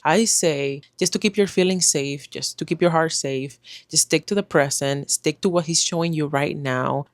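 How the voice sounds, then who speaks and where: A woman in her twenties, a native Spanish speaker, talking casually to camera with many pauses and fillers. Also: extremely low pitch, dry recording. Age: 20s. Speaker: vlogger. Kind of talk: casual talking-head vlog.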